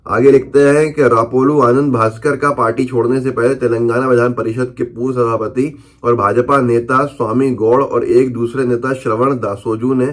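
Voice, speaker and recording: A man's voice: medium pitch, fairly even intonation, normal speed, few pauses. A man presenting news commentary in an emphatic, questioning way, speaking off the cuff and repeating phrases for stress. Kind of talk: unscripted political news commentary, reading out newspaper headlines.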